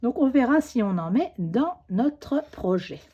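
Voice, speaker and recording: A woman's voice: fairly high pitch, sing-song, a little slow, dark tone, highs cut off, a little hiss or hum. A woman with a cold, coughing now and then, chatting her way through a crafting tutorial with little fillers as she works. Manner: chatty, fillers like "hop" and "euh" while working. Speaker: crafting demonstrator.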